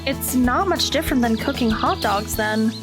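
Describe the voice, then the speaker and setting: A woman playing a modest, easygoing high school girl, plain and understated, in an audio drama. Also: lively intonation, moderate pace, over music, close to an everyday voice. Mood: casual, calm.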